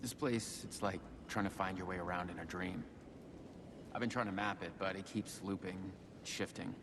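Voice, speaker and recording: A bewildered, worn-down sheriff in a video game thriller. His voice is deep and creaky with gravel, sounding lost in a strange place, with clear ambient noise around him. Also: fairly even intonation, normal speed. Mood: weary, puzzled. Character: weary, bewildered sheriff trapped in a dreamlike otherworld. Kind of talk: video game cutscene acting.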